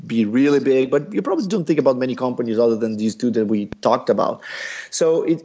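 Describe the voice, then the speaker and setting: Brazilian man explaining in halting English. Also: mid-range voice, warm tone, slightly rough, muffled recording. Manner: thoughtful, many pauses and fillers. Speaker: startup founder. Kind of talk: business interview.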